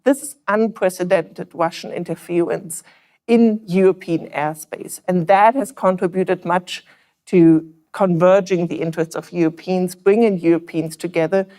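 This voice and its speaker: A woman with a German accent speaks on a policy panel in a fairly low voice. She lays out her points in an earnest, analytical way. She stops now and then on fillers and restarts. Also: steady tone, normal speed, mellow. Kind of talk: panel discussion remarks.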